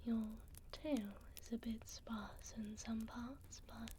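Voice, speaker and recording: A woman playing a gentle, refined vampire duchess in an ASMR roleplay, speaking in a soothing, elegant, breathy whisper close to a binaural microphone. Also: fairly high pitch, steady tone, slight background noise. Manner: soothing, slow, elegant, tender.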